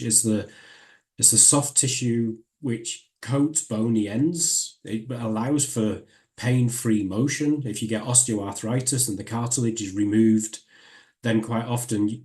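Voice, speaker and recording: A middle-aged man from Northern England with a Northern English accent, giving a measured, explanatory academic seminar talk with frequent restarts. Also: lowish voice, warm tone. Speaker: guest lecturer.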